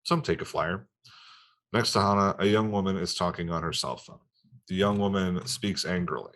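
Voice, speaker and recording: Male narrator, low register, reading stage directions for a film script table read. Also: normal speed, a bit bright.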